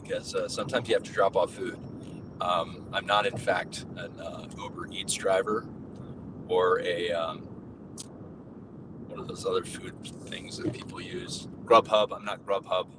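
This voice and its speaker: A man's voice: fairly even intonation, unhurried. Man hosting a chat from a moving car. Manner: rambling and jokey. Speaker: host. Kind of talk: casual chat. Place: passenger seat of a moving car. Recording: distant mic with road noise. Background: car and road rumble.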